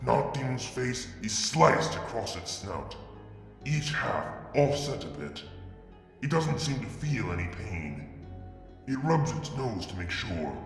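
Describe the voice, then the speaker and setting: A man reading a fan story aloud as a first-person narrator, in a measured, dramatic way. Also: mid-range voice, big pitch swings, creaky. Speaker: fanfiction reader. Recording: echoey room with long reverb and faint music.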